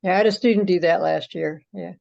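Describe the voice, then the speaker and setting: A woman's voice: lowish voice, fast, vocal fry, a little mellow. A woman academic chatting casually about technical matters on a teleconference. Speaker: academic in a group call. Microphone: teleconference call. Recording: dull lo-fi conference call audio.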